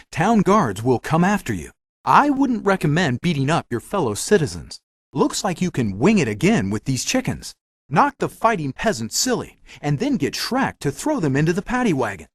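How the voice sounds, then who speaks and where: A man's voice: on the high side, dry recording, studio quality, heavily acted. A man voicing a talking magic mirror in a video game, giving hints in a theatrical, playful, wry way. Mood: wry advising. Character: talking magic mirror guide, male, animated and helpful. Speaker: video game hint-giver. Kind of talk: scripted game voice acting, hints and quips.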